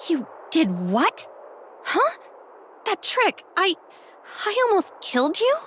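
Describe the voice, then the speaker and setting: A woman's voice: very high voice, wildly swooping pitch, slow pace, a bit bright, a little hiss or hum, full character voice. A woman voicing a skeptical young protagonist in an anime game, guarded and questioning in manner. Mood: shocked.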